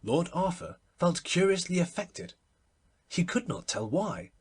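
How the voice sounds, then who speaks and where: A man narrates classic literary fiction with a very husky, breathy voice set a little high. His delivery is measured and expressive, with lively rises and falls that shape each phrase of the story. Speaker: audiobook narrator.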